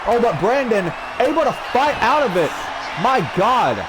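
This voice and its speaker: A man calling a video game wrestling match play by play, energetic with shouted exclamations and a strained throat. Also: extremely high pitch, moderate pace. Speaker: play-by-play commentator. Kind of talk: excited wrestling commentary over video game. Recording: loud game crowd and noise behind. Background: video game wrestling crowd.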